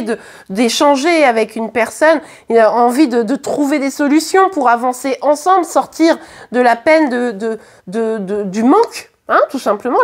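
A hoarse woman with a French accent, doing a casual tarot reading to camera in a chatty, hesitant way with many fillers and restarts. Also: fairly high pitch. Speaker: tarot card reader. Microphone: camera at the reading table.

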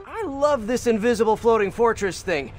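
A young quippy superhero in a game, upbeat, really animated, pitched high. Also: male voice, normal speed, soft background music. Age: young adult. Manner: chatty, quippy. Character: young wisecracking superhero, upbeat and self-deprecating. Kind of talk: video game dialogue.

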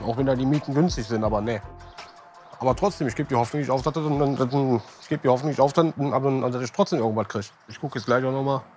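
A middle-aged man talks haltingly on an outdoor balcony, stalling and repeating words. His voice sits at a middle pitch, caught by a clip-on mic. Faint music plays under it. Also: darkish tone. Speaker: interviewee.

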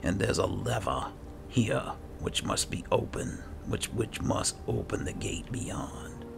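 A man with a very gravelly voice talks through a game in a low-key murmur, thinking aloud. His delivery is slow, but the pitch moves around in a lively way. Clear ambient noise sits behind him. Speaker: gamer host playing and roleplaying a fantasy game. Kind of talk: casual game commentary with read-aloud notes.

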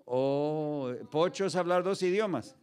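Un pastor de unos cincuenta años, nacido en Los Ángeles, con acento mexicano-estadounidense, predica con humor en un escenario, con micrófono de pie, y cuenta historias imitando diálogos y mezclando frases en inglés. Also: male voice, fairly high pitch, slow, a little mellow, clear, resonant tone. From Los Angeles, United States. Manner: storytelling with acted dialogue, mixing English phrases. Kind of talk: humorous sermon to a children's ministry. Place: stage in a large indoor hall. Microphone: stand microphone.

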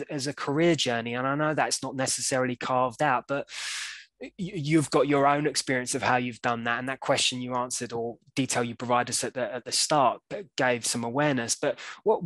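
A man from Wales with an English accent hosts a webinar over a video call. The sound is dry and close, and his pitch stays fairly even. He asks long, earnest questions full of restarts. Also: no room sound. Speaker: webinar host. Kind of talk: hosting a webinar interview.

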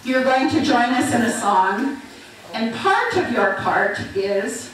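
A woman's voice: fairly high pitch, expressive, slow. A middle-aged woman giving informal, encouraging instructions to an audience as a stage performer, speaking into a handheld microphone. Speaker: talent show performer leading audience participation. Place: large church hall, indoors. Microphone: handheld microphone through PA, recorded by far camera. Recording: echoey hall, distant, noisy audience. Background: audience chatter and noise.

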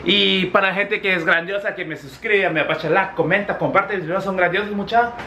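Fast young man, Guatemalan accent, roomy sound. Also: high-pitched, steady tone, sharp tone, a little hiss or hum. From Guatemala, of Korean parents. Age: young adult. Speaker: vlogger. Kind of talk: casual storytelling to camera. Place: small room indoors. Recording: roomy indoor echo. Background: music.

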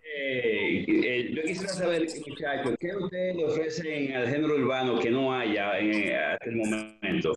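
A man co-hosting an interview over a video call, asking questions with filler pauses. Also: mid-range voice, animated. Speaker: co-host. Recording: echoey dull line with crowd.

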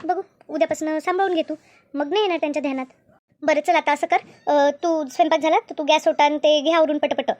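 A woman voicing a bossy, sly elder sister-in-law in a cartoon family drama, brisk and repetitive as she instructs. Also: high-pitched, steady tone, darkish tone, muffled recording, close to an everyday voice. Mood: brisk, instructing. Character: bossy, two-faced elder sister-in-law running the kitchen.

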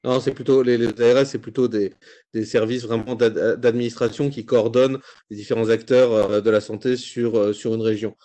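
A man's voice: medium pitch, steady tone, fairly quick, dark tone. A French man moderating a video-call webinar, asking long questions with many fillers. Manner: hesitant, filler-heavy questions.

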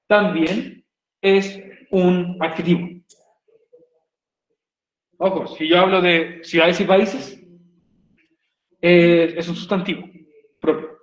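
A Chilean man teaches an online English class, chatty and joking, often asking his students if they follow. His voice sits high. It rings a little in a roomy space, picked up by a laptop mic. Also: flat delivery, slow, pauses often. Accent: Chilean Spanish. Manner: chatty, jokey, asks "¿cierto?" often. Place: home room on a video call.

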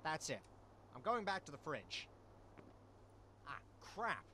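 A man's voice: fairly high pitch, sing-song, takes it slowly, pushed and bright, slight background noise, exaggerated voice. A man voicing a nervous, whiny, foul-mouthed animal scavenger in a cartoon game, hesitant and exasperated.

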